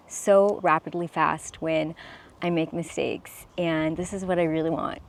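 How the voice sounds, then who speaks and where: Woman, young adult, slightly low pitch; sincere, encouraging to-camera coaching talk. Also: a little mellow. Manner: earnest and encouraging, with restarts. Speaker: self-expression coach.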